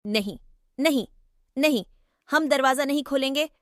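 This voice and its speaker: A woman voicing a frightened but defiant little pig in a children's cartoon tale, squeaky and hurried. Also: fairly bright tone, dry recording, clean studio sound. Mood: scared, defiant.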